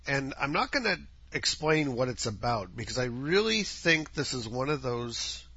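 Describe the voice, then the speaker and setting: A man with a husky, scratchy voice, chatting alone into a home mic, lively in pitch with a bright, forceful tone. He rambles, corrects himself and drifts between topics, recorded dull and lo-fi. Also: moderate pace. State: scratchy voice from dust. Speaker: podcast host. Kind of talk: casual solo podcast chat. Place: home, outdoor noises faintly heard.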